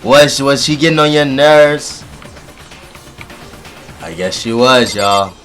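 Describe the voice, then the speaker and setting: A man with a deep voice doing animated, joking let's play commentary and talking straight to his audience. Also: slow, faint music behind. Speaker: gaming YouTuber.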